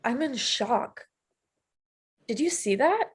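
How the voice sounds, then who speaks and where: A woman reading a hesitant, breathy teen girl. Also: fairly high pitch, animated, slow pace, full character voice. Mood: questioning. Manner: hesitant, questioning. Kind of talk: amateur table read.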